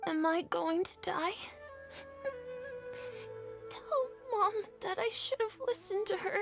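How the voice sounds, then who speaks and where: A woman's voice: very high-pitched, slow pace, creaky, heavily acted. A woman playing a naive, feverish little boy in a video game, with a weak, plaintive, childlike voice. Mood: tearful, frail. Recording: game music behind.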